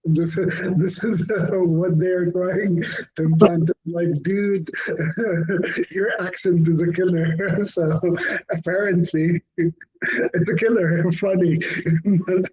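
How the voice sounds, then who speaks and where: A man with a husky, somewhat high voice speaks slowly and haltingly. He laughs between his words. His voice comes through dull, lo-fi call audio. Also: fairly even intonation, a little mellow. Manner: laughing, hesitant, drawn-out speech. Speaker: participant in a live session.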